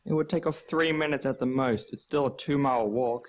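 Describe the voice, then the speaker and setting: A man's voice: medium pitch, a little fast, natural voice. A man playing an earnest, dutiful Australian schoolboy in a nostalgic radio play. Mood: impatient. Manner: earnest, exasperated.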